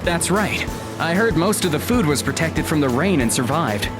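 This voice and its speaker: A woman as a concerned teenager, lively intonation. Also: sits low, normal speed, loud music behind the voice. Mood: explaining. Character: concerned, level-headed teenage high school student. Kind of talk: audio drama acting.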